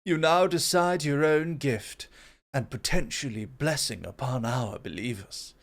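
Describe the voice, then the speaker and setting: Man playing a slow, self-assured god. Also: medium pitch, vocal fry. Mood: intrigued, assured. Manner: measured, formal, self-assured. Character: proud, composed god of creation and innovation. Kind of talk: ASMR roleplay acting.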